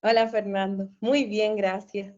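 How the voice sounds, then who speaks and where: A Chilean woman in her forties chats as a guest with a bright, easy cheerfulness, often breaking into laughter. Her talk wanders with fillers and restarts. The sound is dull and lo-fi, as over a video call. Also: medium pitch, flat delivery, unhurried. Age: 40s. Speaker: guest, therapist. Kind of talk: casual conversation.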